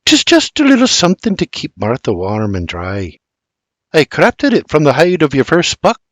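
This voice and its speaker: A man's voice: fairly high pitch, wildly swooping pitch, moderate pace, fairly bright tone, no echo, pitched above the performer's own voice, heavily acted. A man voicing a kind, motherly middle-aged farm wife who speaks tenderly.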